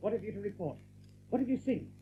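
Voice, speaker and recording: A man's voice: sits high, expressive, fast talker, faint music behind. A man playing a brave, decisive young rabbit leader in an audio drama, earnest and commanding. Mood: questioning, doubtful. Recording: thin narrow-band recording with faint noise.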